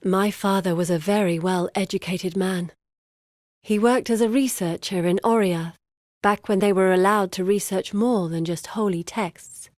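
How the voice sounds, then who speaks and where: An actress playing a scholarly, driven young woman researcher in a fantasy video game, speaking in an articulate, measured and earnest way. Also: mid-range voice, fairly even intonation, darkish tone, dry recording, studio quality, close to an everyday voice. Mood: earnest, wistful.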